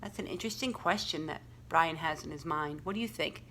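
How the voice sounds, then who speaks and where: A woman reading a children's story aloud like a school librarian, with deliberate, expressive storytelling phrasing aimed at young listeners. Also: sits low, a little hiss or hum. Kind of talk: expressive picture-book read-aloud with questions to young listeners.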